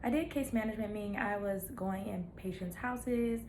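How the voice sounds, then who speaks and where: A woman's voice: mid-range voice, normal speed, a little mellow, slight background noise. A woman in her thirties chatting casually to her viewers, encouraging and playful, with laughing asides. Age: 30s. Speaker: nurse practitioner vlogger. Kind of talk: casual chat to camera.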